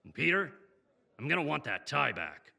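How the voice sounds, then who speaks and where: An actor playing a blustery, gruff newspaper boss with a raspy voice and a brash, emphatic manner in a comic dub. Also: male voice, fairly high pitch, big pitch swings, normal speed, sharp tone, heavily acted. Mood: gruff, fatherly.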